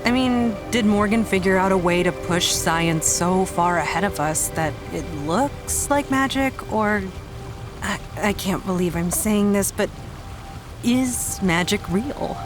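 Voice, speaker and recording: A woman's voice: mid-range voice, measured pace, music in the background, close to an everyday voice. A woman playing a thoughtful, teasing, affectionate adventurer in an audio drama, speaking in a hesitant, reflective, conversational way. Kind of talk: fan audio drama acting.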